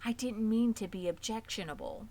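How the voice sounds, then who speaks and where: A woman's voice: fairly high pitch, normal speed, darkish tone, voice pushed up, lightly acted. A woman voicing an earnest, naive young male pig with polite, formal phrasing, in a children's storybook reading. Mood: meek, apologetic.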